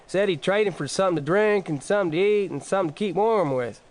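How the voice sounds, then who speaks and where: An actor playing a wry, hard-up frontier husband with a folksy rural Western American accent in a dramatized audiobook. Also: male voice, high voice, fast, darkish tone, lightly acted. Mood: folksy, explaining. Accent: rural American West.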